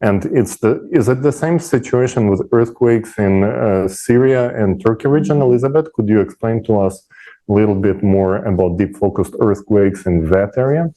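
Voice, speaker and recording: A man with a fairly low, mellow voice talks in an unscripted panel discussion. His delivery is halting, with words repeated and sentences restarted as he works through his point. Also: low-quality recording. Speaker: panel speaker.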